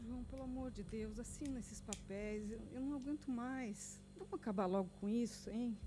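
A woman's voice: a little high, a little fast, background noise, natural voice. A woman playing a desperate, worn-out wife and mother in an audio drama, pleading and distraught, with a Brazilian accent. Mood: weary pleading.

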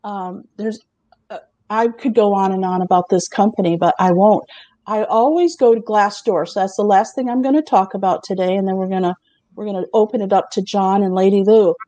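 Chatty woman host, mellow voice. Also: medium pitch, steady tone, a little fast, dry recording. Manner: chatty, laughs often, asks the others questions. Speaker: host presenting remote job openings. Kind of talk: casual chat show presentation.

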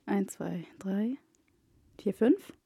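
A woman's voice: medium pitch, lively intonation, very slow, vocal fry, mellow, slightly rough, dry recording, clean studio sound. A woman from Egypt, a native Arabic speaker, chatting as a podcast guest and often slipping English words into her talk. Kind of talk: casual chat.

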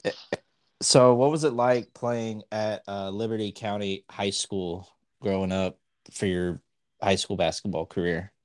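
A man's voice: on the low side, steady tone, a little slow. A young man hosting a sports podcast, asking interview questions in a loose, hesitant way, with "kinda" and "like" throughout. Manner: hesitant, loose phrasing with fillers. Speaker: podcast host.

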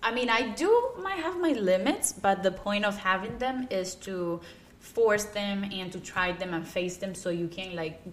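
A woman's voice: medium pitch, moderate pace. A young woman with a Cuban accent chats casually as an interview guest. Her mid-range voice is emphatic and full of little "like" fillers. There is some noise and room ambience behind her. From Cuba. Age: young adult. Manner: chatty, emphatic, frequent use of "like". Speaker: interview guest, aspiring actress and model. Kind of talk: casual conversational interview answers.

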